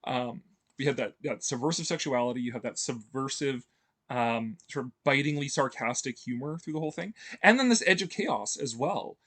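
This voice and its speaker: A man's voice: medium pitch, animated, moderate pace, a bit bright. Rambling man gushing with lots of ums. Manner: rambling, heavy on fillers and restarts; chuckles often. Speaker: video essay host. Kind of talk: casual enthusiastic commentary.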